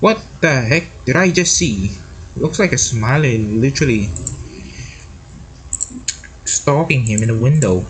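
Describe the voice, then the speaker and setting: A man's voice: mid-range voice, animated, a little slow, long pauses, poor audio. A man giving casual, informal live reaction commentary to a video, often repeating himself. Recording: loud background noise.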